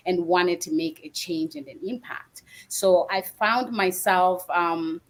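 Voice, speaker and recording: A woman podcast guest who immigrated to Canada, reflecting on her own life in conversation. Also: fairly low pitch. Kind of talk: reflective personal storytelling.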